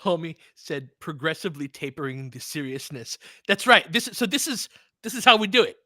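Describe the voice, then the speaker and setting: Animated man chatting casually over basketball. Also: on the high side, fairly quick, no echo, studio quality. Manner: informal, profane, slangy. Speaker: host of a fan watch-along. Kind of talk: casual live basketball commentary, chatting with viewers.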